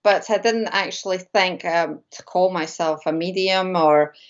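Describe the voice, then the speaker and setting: A woman's voice: on the low side, a little slow, muffled recording. A Latvian woman, a native Latvian speaker, talking as a guest about mediumship in a hesitant, filler-heavy chat over a video call. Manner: hesitant, many fillers and restarts. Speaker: guest medium and shamanic practitioner. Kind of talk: casual interview chat.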